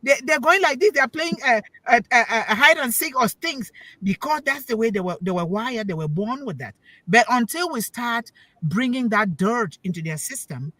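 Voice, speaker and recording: A woman's voice: a little high, lively intonation, moderate pace, pushed and bright, dry recording, poor audio. A woman speaking on a talk show panel as a parent, giving an emphatic, preachy talk that repeats key words for stress and puts questions to her listeners. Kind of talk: motivational talk.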